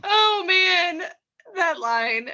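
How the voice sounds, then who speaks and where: A woman host chats casually to her audience. Her voice is high and bright. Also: lively intonation, very slow, muffled recording. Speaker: host of radio play reading.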